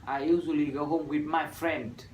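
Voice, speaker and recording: A Vietnamese man in his early twenties speaks in steady, rehearsed English with a Vietnamese accent and the odd "uh". He is recorded from a distance in a roomy, echoing space, with faint noise behind him. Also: fairly high pitch. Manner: measured, rehearsed delivery with "uh" fillers. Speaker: university student. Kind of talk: scripted classroom dialogue practice. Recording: echoey room from far off, faint noise.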